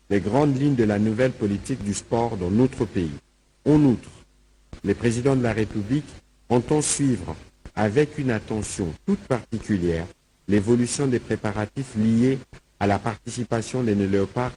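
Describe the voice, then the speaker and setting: A man's voice: lowish voice, warm tone, low-quality recording. A man reading out an official government report as a spokesman. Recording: faint background noise and ambience.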